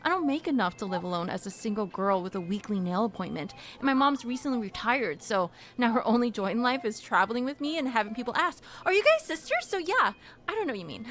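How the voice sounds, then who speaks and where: An actress playing a young, people-pleasing hustler in a scripted podcast comedy, with an animated, rambling, sardonic way of talking. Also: female voice, fairly high pitch, quick pace. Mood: chatty, relieved. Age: young adult. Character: young, hustling, people-pleasing woman, sharp-tongued and desperate.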